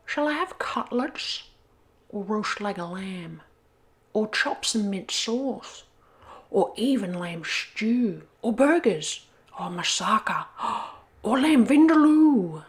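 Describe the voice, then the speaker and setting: A woman's voice: on the high side, animated, takes it slowly, pitched above the performer's own voice. A woman voicing a cunning, vain male wolf with a boastful, gloating manner in a children's story.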